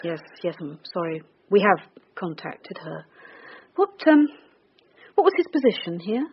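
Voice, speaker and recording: An actress playing a wry, sardonic police detective with a brisk, probing manner in a radio drama. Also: female voice, on the low side, sing-song. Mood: polite, probing. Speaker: police detective leading a murder inquiry. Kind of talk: naturalistic radio drama acting. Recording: dull, noisy radio drama recording.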